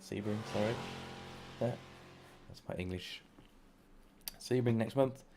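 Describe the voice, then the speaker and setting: A man giving casual, chatty live sim racing commentary as a stream commentator, with a gravelly voice. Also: on the low side, slow pace. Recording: clear background noise on stream.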